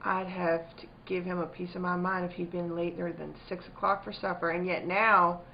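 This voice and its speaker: A woman's voice: on the low side, level tone, normal speed, creaky, a little hiss or hum. A woman playing a practical, scolding, protective rural widow with folksy, fussing speech in an old-time radio drama. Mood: chiding, wistful. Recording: muffled live stage recording.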